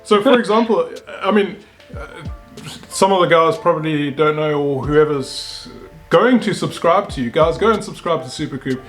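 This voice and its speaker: Man, thirties, South African accent, higher-pitched voice, casual interview host, background music. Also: normal speed, fairly bright tone. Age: 30s. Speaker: game show host and car YouTuber. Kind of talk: casual interview chat about cars.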